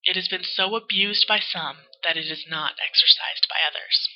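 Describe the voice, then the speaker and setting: A woman's voice: lowish voice, moderate pace, muffled recording. A woman reading a text aloud like a history teacher, with informal explanatory commentary and the odd stumble. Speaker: history teacher narrating a primary source.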